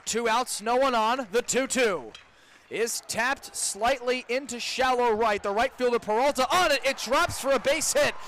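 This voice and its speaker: A young man with a high-pitched voice gives live baseball play-by-play on student radio. His calling is detailed and descriptive, jumping in intensity when the action heats up. Light outdoor ballpark noise sits behind him. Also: moderate pace. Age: young adult. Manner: excited surges on big plays, detailed descriptive calling. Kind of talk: live radio sports commentary. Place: outdoor baseball stadium broadcast. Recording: noisy outdoor ballpark ambience.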